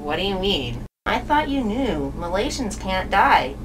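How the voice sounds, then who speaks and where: A woman's voice: mid-range voice, sing-song, a little slow, distant mic, background noise. A woman voicing a casual, puzzled young character in a puppet show, with matter-of-fact delivery.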